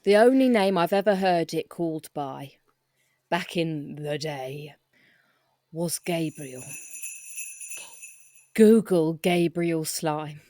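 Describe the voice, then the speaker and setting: A woman with a slightly low voice improvises comic dialogue in character. The sound is dry and close. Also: slow pace, pauses often, dark tone, no echo. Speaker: performer in an improvised comedy audio drama.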